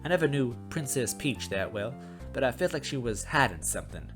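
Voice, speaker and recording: A man's voice: medium pitch, expressive, brisk, fairly bright tone, soft background music. A man reading a story aloud as an amateur host, with casual, self-deprecating asides.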